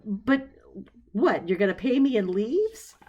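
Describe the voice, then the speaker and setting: A woman's voice: mid-range voice, very animated, slow pace, a little mellow, lo-fi sound. A woman acting an exasperated, incredulous young woman in a story, agitated and slangy. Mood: wary. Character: exasperated, incredulous young woman, freshly unemployed fact checker. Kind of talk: dramatized storytelling.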